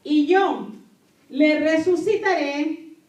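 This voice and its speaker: A woman pastor delivers an emphatic sermon in a very high voice. She repeats key phrases and questions her listeners as a native Spanish speaker. The sound is echoey, picked up some distance from the microphone. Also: takes it slowly, darkish tone. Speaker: pastor preaching to a congregation. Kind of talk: sermon with scripture reading.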